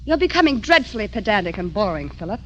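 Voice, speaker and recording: An actress playing a sarcastic, fiery doctor's wife in 1950s radio drama style. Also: female voice, mid-range voice, big pitch swings, fast, highs cut off, a little hiss or hum, close to an everyday voice. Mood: scornful, sarcastic. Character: sarcastic, fiery doctor's wife; also a slangy island bar girl. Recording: old AM radio drama, music behind.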